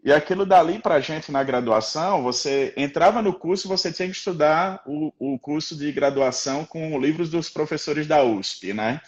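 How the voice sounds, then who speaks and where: A man's voice: on the high side, moderate pace, hardly any pauses, highs cut off. A man with a Rio Grande do Norte accent, a guest professor talking casually on a live stream, rambling with many fillers and tag questions. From Rio Grande do Norte, Brazil.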